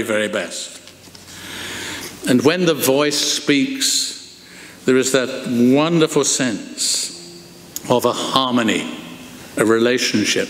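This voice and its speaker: Older preacher in an echoey church. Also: male voice, mid-range voice, slight background noise. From England. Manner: expressive, gestures broadly, slows for emphasis. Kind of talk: sermon. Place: large wooden church, indoors. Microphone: lectern microphone. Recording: echoey church hall, long reverb.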